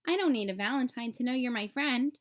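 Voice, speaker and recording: A woman's voice: fairly high pitch, animated, a little fast, lightly acted. A woman voicing a friendly, easygoing young bear schoolfriend in a storybook, childlike and good-natured. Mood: reassuring.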